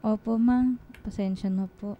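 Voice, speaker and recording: A woman's voice: medium pitch, steady tone, unhurried, muffled recording, natural voice. A Filipino woman playing a dutiful but resentful teenage elder daughter in a radio drama. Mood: meek. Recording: music behind the dialogue.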